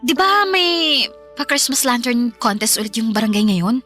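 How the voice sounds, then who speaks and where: An actress playing an upbeat, encouraging younger sister in a Tagalog radio drama. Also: female voice, fairly high pitch, lively intonation, normal speed, pushed and bright. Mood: apologetic, eager. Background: background music.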